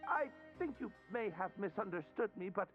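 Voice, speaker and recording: Game NPC: polite, stammering young male prisoner, high and lilting, slightly muffled audio. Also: animated, fairly quick, loud music behind the voice. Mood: timid. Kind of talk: video game voice acting.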